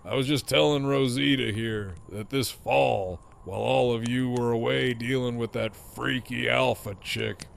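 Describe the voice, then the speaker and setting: A man playing a cocky, teasing, foul-mouthed prisoner in an audio drama, with a smug, sardonic drawl and a gravelly voice. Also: medium pitch. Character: cocky, teasing, profane male prisoner with a grin.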